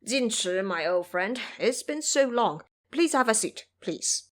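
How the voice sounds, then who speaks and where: A woman voicing a cunning dark male monster king who talks with courtly politeness, in a storybook reading. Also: fairly high pitch, lively intonation, unhurried. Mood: welcoming.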